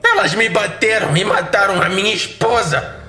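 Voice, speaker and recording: A man's voice: high voice, normal speed, bright, forceful tone, reverberant, soft background music, pitched above the performer's own voice. Battered, manipulative male prisoner performed by a man, tearful hysterical pleading, raised tense voice, horror audiodrama. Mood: screaming, desperate. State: crying. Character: battered male captive, sobbing and begging, manipulative.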